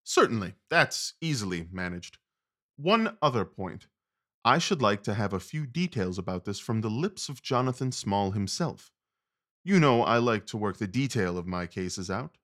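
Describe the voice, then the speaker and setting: An actor playing a confident, commanding gentleman detective, wry and precise, in an audio drama. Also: fairly low pitch, very animated, normal speed. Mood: commanding, brisk.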